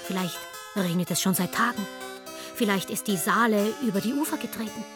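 A woman's voice: mid-range voice, fairly quick. Wry woman narrator over music. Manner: wry, sardonic. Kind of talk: scripted documentary narration for radio.

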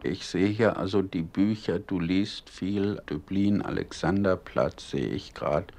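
Radio documentary interviewer, male, gravelly low voice, unhurried. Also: a little mellow. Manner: slow, hesitant questions with fillers.

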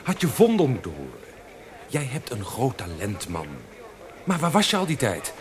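An actor playing a frank, supportive young friend, blunt and exclamatory, in a radio drama. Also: male voice, medium pitch, huge pitch swings, normal speed. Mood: enthusiastic. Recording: noisy radio play recording with ambience.

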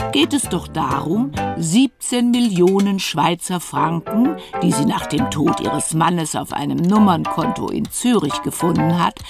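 An actress as the wry, measured female narrator of a radio crime serial, giving scripted narration. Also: fairly low pitch, creaky. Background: music.

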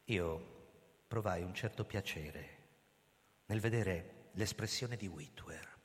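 An actor playing a weary, proud old police chief, telling his story in a theatrical, deliberate radio drama style. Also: sits low. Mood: grave, quiet. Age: older. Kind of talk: dramatic radio play narration and dialogue over music. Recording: long hall reverb, loud music underneath. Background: loud music.

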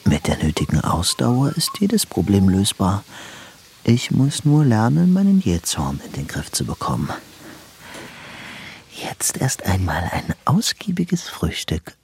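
An actor in a German radio play playing an obsessive, evasive man who speaks in a halting, guarded way and holds down his temper. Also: fairly low pitch, very animated, darkish tone, a little hiss or hum. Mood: self-absorbed. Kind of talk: German radio play dialogue and inner monologue.

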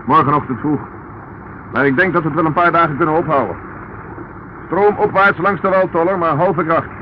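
Noisy old radio drama, guy doing a shrewd cop inspector, lightish voice, brisk n dry, bossy. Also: a little high, lightly acted. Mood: alert, commanding. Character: shrewd, composed police inspector, man, wryly commanding. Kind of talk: Dutch radio play acting. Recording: muffled, noisy old radio play recording.

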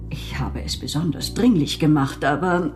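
A woman's voice: low-pitched, normal speed, soft background music. An actress playing an evasive, formal female office clerk in a radio crime drama, hesitant and officious.

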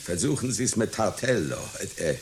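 Vain old circus clown, radio drama, swooping intonation, halting stammer, melancholy grandeur, light hiss. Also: male voice, on the low side, sing-song, a little mellow. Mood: proud, wounded. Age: older. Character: vain, melancholy aging circus clown, proud of his art. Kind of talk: satirical radio play acting. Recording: hissy radio play recording.

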